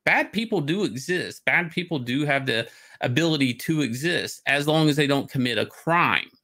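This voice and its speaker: A man's voice: fairly high pitch, expressive, normal speed, pushed and bright. Man ranting casually on a livestream. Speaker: stream host. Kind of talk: casual opinionated rant on a livestream. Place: indoor room, livestream on webcam.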